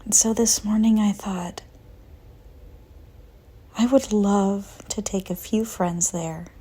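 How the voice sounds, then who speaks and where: A woman narrating a guided visualization in a soft, breathy voice that often nears a whisper, calm and gentle with long pauses. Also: medium pitch. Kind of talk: slow guided visualization, soothing scripted narration.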